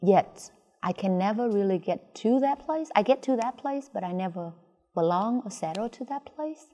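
A woman with a Vietnamese accent giving reflective interview answers as an artist, thoughtful and halting. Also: mid-range voice, animated, warm tone. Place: large indoor museum gallery. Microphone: lapel. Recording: reverberant gallery room.

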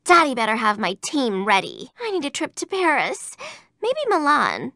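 A woman's voice: sits high, sing-song, normal speed, vocal fry, pushed and bright, no echo, studio quality, exaggerated voice. A woman voicing a spoiled, vain young female race car in a video game, with a haughty, pouty, sugary manner.

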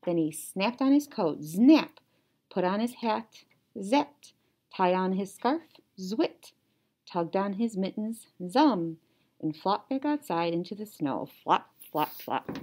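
A young woman reading a children's story like a teacher, animated, with playful sound words. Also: medium pitch, slow pace. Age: young adult. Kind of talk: children's story read-aloud. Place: small indoor classroom or office.

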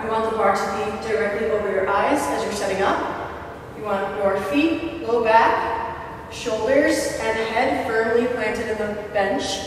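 Young woman trainer explaining lifts, far from the mic, big boomy gym echo, some noise behind. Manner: clear, steady coaching while demonstrating exercises. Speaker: fitness trainer. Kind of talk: instructional demonstration. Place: large gym with hard walls. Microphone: far camera.

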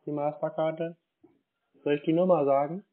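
A man making a prank phone call as a naive victim, giving terse, plodding, deadpan answers. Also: a little high, normal speed. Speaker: caller posing as a naive victim. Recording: tinny phone line.

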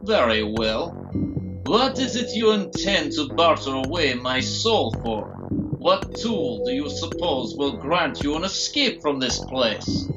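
An actor playing a sinister, taunting villain doctor in an audio drama, cunning and grandiose, with theatrical delivery. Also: male voice, on the high side, big pitch swings, muffled recording. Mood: coolly menacing. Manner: theatrical, slow, mocking. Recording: loud music under the voice.

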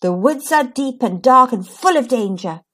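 A woman voicing a brave, spirited little girl who plays superhero in a children's storybook, with expressive, declarative delivery. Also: on the high side, normal speed, slightly rough. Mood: bold. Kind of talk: children's storybook read-aloud.

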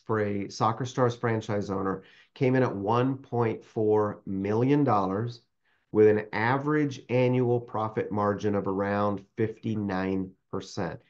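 A man's voice: on the low side, darkish tone, lo-fi sound. A man presenting a franchise webinar over a video call, enthusiastic and fond of repeating his praise. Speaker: webinar host, franchise consultant. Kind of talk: upbeat sales presentation.

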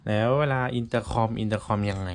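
Man in a flat, low-key tutorial voice. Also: fast talker, very noisy. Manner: informal step-by-step explaining in short phrases. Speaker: vlogger giving a product tutorial. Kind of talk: casual hands-on tutorial.

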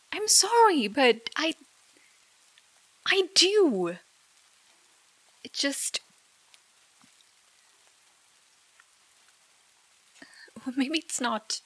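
A jealous, wounded girlfriend acted by a woman in an audio roleplay, her voice a little high-pitched. She argues slowly and haltingly, exasperated and sarcastic, in a close, dry recording with rain behind her. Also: a bit bright, husky, no room sound, exaggerated voice. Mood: exasperated, hurt. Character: jealous, hurt young girlfriend arguing with partner. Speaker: ASMR roleplay performer. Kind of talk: ASMR girlfriend roleplay, dramatic monologue.

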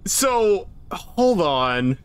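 A man's voice: high-pitched, sing-song, extremely slow, smooth. A man giving casual, foul-mouthed gameplay commentary among friends. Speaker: gaming video host.

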